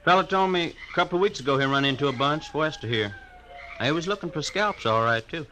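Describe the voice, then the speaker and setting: Brief: a chatty frontier buffalo hunter, bright twangy voice, folksy western radio play. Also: male voice, on the high side, expressive, fairly quick, slight background noise, low-quality recording. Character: folksy buffalo hunter, grown man, hospitable and talkative. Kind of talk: 1950s radio drama acting.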